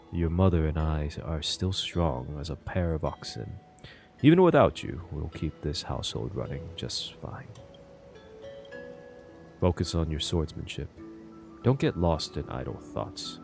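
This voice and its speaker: An aging farmer father from a story game, fond and steady. He speaks very low, in a plain, reassuring fatherly manner. Faint music plays behind him. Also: creaky, full character voice. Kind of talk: game dialogue.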